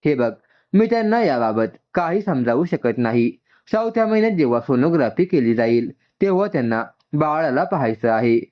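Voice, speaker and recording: A man's voice: a little high, big pitch swings, normal speed. A man voicing a firm, demanding middle-aged mother in a told story, speaking insistently. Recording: dull, muffled lo-fi sound.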